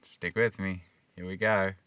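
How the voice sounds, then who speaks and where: Casual, soft-spoken man, dry recording. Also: low-pitched, flat delivery, measured pace, clean tone, dry recording. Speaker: host of a voice channel. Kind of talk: casual chat to camera.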